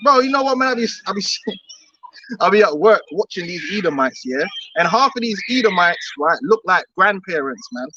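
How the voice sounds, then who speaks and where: A man's voice: high-pitched, expressive, normal speed, lo-fi sound, background noise. A man preaching outdoors on the street, emphatic and repetitive, often laughing between his points.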